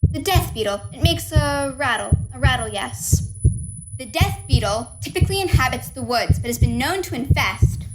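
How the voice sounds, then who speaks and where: A woman's voice: high-pitched, animated, moderate pace, faint music behind. A woman playing an unhinged, guilty murderer who narrates with false politeness and growing paranoia in a horror radio play. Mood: uneasy narrating. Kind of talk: dramatic first-person horror monologue in radio play. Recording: echoey room with long reverb.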